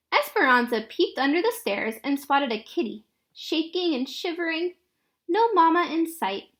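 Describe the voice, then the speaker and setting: High-pitched woman reading a children's story. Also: a bit bright. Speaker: read-aloud narrator. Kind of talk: expressive children's picture book read-aloud.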